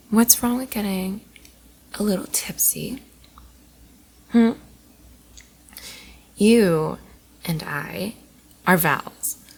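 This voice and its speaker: A woman playing a tipsy, playful college girl in an intimate ASMR roleplay, rambling and teasing affectionately, often soft and whispery. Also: fairly low pitch, vocal fry, slight background noise, full character voice. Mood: tipsy, amused.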